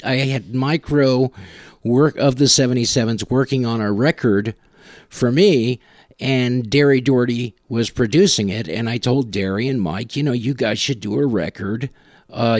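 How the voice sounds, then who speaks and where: A man speaks as a music show host in a medium-pitched voice, close and dry on the mic. He tells casual stories with a wry, self-deprecating manner. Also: no echo. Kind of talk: casual music commentary and anecdotes.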